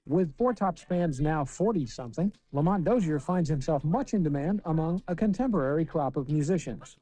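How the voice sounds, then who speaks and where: Male news correspondent, scripted report, slightly high voice with a soft mellow tone. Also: animated, moderate pace, poor audio.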